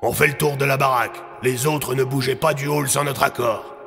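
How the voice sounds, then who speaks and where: A man playing a decisive army colonel in an audio drama, gruff and curt, giving slangy orders. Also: mid-range voice, steady tone, brisk, a bit bright, faint music behind. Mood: commanding.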